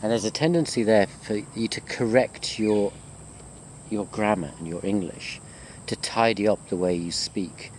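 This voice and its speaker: A man with a low-pitched voice talks like a lecturer chatting to his students on a walk. He rambles along in a conversational, self-deprecating way. Faint insect and cricket noise sits behind him, as in an outdoor recording. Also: unhurried, a little mellow. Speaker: university lecturer. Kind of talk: informal walking video chat to students.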